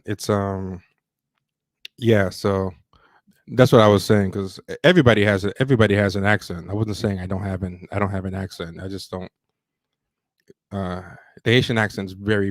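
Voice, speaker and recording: A man with a fairly low voice hosts a casual livestream. He talks through news commentary loosely, stopping, restarting and wandering between points. The sound is dry, with very little room echo. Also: fairly even intonation. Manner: rambling, halting, self-interrupting. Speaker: livestream host.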